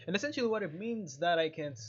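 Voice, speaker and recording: A man's voice: high-pitched, expressive, fast, dull audio, soft background music. A man doing casual, rambling let's-play commentary, reading game dialogue aloud and adding jokey asides. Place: at home.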